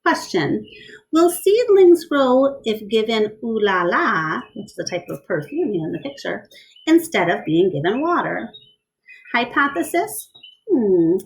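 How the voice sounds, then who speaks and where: A woman reading a picture book aloud to children in an expressive style, pausing to question and explain things to her young listeners. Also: fairly high pitch, poor audio. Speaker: read-aloud storyteller.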